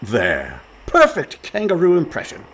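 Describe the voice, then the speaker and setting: An actor playing a breezy, overconfident schemer in a comic radio play, glib and mock-genteel. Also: male voice, on the high side, huge pitch swings, unhurried, a bit bright, a little hiss or hum, full character voice. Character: smooth-talking, scheming con man, male, breezy and overconfident.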